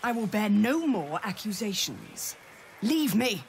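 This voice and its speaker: A woman's voice: mid-range voice, sing-song, takes it slowly, a little hiss or hum. A woman playing a haughty, cold, imperious druid leader in a video game. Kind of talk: video game character dialogue.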